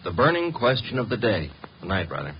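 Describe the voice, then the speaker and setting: Flippant wisecracking detective sidekick, low voice, bouncy delivery, slangy, old radio recording with some noise. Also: male voice, very animated, fairly quick, creaky. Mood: breezy, teasing. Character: breezy, wisecracking male detective's legman and assistant. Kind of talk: 1950s radio drama acting. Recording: dull, noisy 1950 radio recording.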